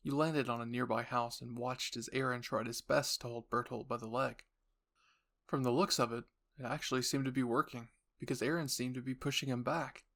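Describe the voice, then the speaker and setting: A man's voice: medium pitch, fairly even intonation, brisk, gravelly, fairly bright tone. A man reading scripted second-person story narration for a roleplay. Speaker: narrator and voice actor.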